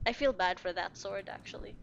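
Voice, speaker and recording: A woman's voice: mid-range voice, brisk, creaky, a bit bright, lo-fi sound, a little hiss or hum. A woman streamer giving casual, playful, wry reactions while playing a game. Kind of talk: casual gameplay reaction commentary.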